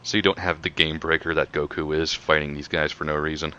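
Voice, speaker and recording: A man's voice: rumbling low, little pitch movement, fairly quick, sharp tone, slight background noise. A man co-hosting a casual commentary in a matter-of-fact explaining manner, on a slightly dull microphone. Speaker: podcast co-host. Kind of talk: casual chat, retrospective commentary.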